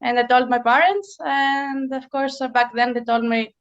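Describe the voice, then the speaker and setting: High-voiced Greek woman in her forties. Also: steady tone, moderate pace, muffled recording. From Greece. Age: 40s. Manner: thoughtful, frequent hesitations. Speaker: guest author. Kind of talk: casual interview chat.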